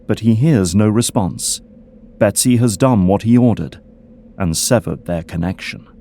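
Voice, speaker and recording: A man's voice: lowish voice, unhurried, warm tone. A man reading scripted, dramatic third-person narration for an audio drama as its narrator.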